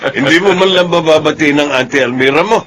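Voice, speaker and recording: A man's voice: on the high side, fairly quick, fairly bright tone, slight background noise, close to an everyday voice. A man with a Filipino accent playing a proud, jovial, tipsy middle-aged father in a radio drama. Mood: boastful, jovial.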